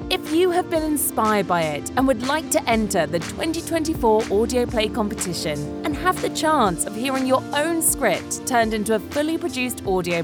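A woman hosting a podcast, reading a scripted sign-off. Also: on the high side. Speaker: podcast host. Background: music.

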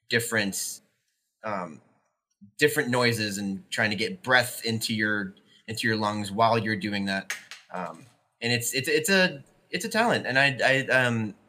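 Young guy co-hosting a podcast, bright forceful voice, lots of ums. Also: lowish voice. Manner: rambling, many ums and restarts. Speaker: podcast co-host. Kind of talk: casual podcast chat.

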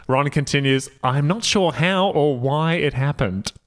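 A man's voice: a little high, unhurried, slight background noise. Amused man reading aloud and riffing. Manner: amused, often breaking into laughter. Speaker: podcast guest reading aloud. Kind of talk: comedic read-aloud with joking asides.

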